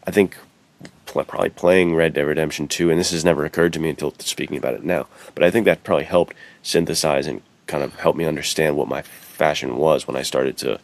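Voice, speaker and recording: A man with an extremely low voice that crackles with fry talks in a loose, slangy podcast style. His phrasing is bro-like and conversational, as a co-host swapping opinions. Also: steady tone, fast. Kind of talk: casual podcast chat.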